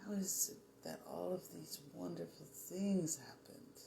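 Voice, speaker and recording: A meditation guide speaking soothingly in short, measured phrases with long pauses, offering spiritual affirmations. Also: medium pitch, vocal fry. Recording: roomy echo, faint background noise.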